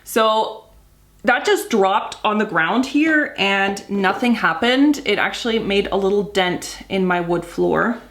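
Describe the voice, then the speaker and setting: A woman's voice: mid-range voice, slow pace, a bit bright. A young adult woman chatting casually at home as she reviews a perfume, easygoing and full of fillers. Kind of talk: casual product review vlog. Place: home room with wood floor.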